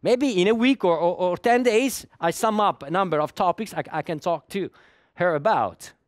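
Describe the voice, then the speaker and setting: Guy talking at a dev conference, bit high voice, keeps repeating words, dry sound. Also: moderate pace, no room sound. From Italy. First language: Italian. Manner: repeats words while searching for them, with small laughs. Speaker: conference speaker. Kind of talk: technical conference talk.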